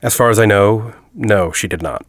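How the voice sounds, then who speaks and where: An actor playing a forty-year-old university headmaster in an audio drama, a secretive academic who speaks in a deliberate, articulate, confiding way. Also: male voice, lowish voice, no room sound, clean studio sound, close to an everyday voice. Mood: guarded, challenging. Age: 40s. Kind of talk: audio drama dialogue and storytelling.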